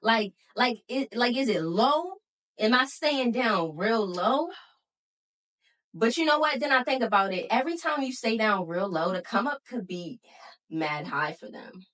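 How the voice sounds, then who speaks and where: A woman's voice: fairly high pitch, lively intonation, a little slow, fairly bright tone, far from the mic. Blunt, slangy woman in her twenties. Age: 20s. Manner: frank and blunt, slangy, trails off and restarts. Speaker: podcast co-host. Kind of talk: casual chat.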